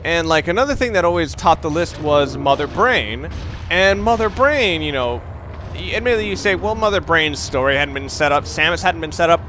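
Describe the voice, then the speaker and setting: A man's voice: on the high side, expressive, moderate pace, bright, forceful tone, a little hiss or hum. A young man giving casual, rambling, opinionated commentary while playing a video game, with the odd curse word. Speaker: let's play commentator.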